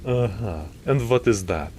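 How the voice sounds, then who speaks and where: A man's voice: on the low side, animated, measured pace, darkish tone, a little hiss or hum, pitched above the performer's own voice. A man voicing an amused, composed male priest in a story, with a wry, teasing manner. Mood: insistent. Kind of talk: audiobook character dialogue.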